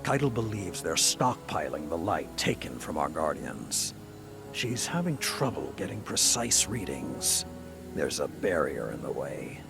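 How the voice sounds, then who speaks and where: An actor playing a stern old war commander in a video game, with a gravelly voice and a grave, authoritative delivery. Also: male voice, very deep voice, exaggerated voice. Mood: urgent, commanding. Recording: loud music bed behind voice.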